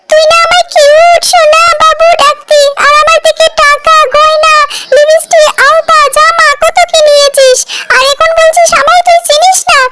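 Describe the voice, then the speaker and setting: An actor voicing a lovesick, excitable little male cartoon ghost in a squeaky, childlike voice with colloquial speech.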